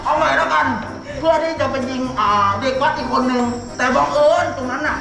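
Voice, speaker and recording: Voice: sits high, steady tone, fast, gravelly. A woman hosting a challenge video in casual, colloquial talk, improvising a story with acted-out sound effects. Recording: roomy echo, music underneath.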